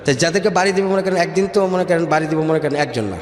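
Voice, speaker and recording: A man's voice: fairly high pitch, very fast. Bangladeshi man telling his story, echoing reverb. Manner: mixes English words into his Bengali. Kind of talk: personal storytelling in a radio interview. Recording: echoey reverberant room with music behind. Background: background music.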